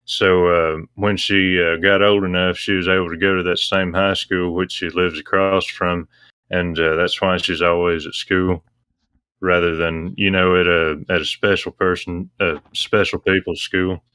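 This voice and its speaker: Man, deep voice, monotone, casual explainer, hesitant and measured. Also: clean tone, dry recording. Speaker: guest.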